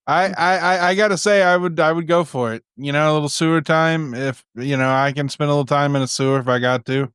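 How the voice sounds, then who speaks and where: Easygoing male podcast co-host, slightly high clear voice, casual banter. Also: fairly even intonation, fast talker, hardly any pauses, a bit bright, dry recording, clean studio sound.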